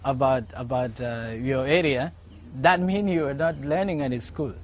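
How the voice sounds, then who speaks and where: A man, asking measured, probing questions as a TV interviewer outdoors on a lapel mic. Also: medium pitch. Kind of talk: broadcast interview. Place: outdoors by leafy bushes. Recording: faint outdoor noise.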